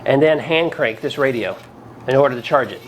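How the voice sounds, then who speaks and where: A man's voice: mid-range voice, brisk. A man hosting a casual product review, talking in a plain-spoken, folksy, conversational way. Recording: clearly noisy background ambience.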